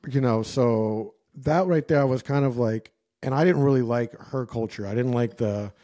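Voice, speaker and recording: Mellow, slangy American man chatting. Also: mid-range voice, normal speed. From United States. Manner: informal, slangy, rambling. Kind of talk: casual chat, personal anecdotes.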